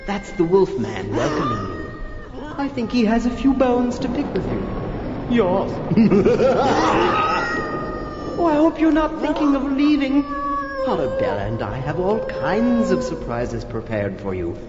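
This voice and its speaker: A campy horror-record vampire count, gloating and gleefully sinister. His drawn-out, theatrical lines swoop widely in pitch and unfold slowly through the echo and hiss of a worn old record. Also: wildly swooping pitch, full character voice. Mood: gleeful menace. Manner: hammy, drawn-out, alliterative, gleefully sinister delivery. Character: gloating theatrical male vampire count, menacing prankish villain. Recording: muffled, echoey, noisy old flexi-disc record.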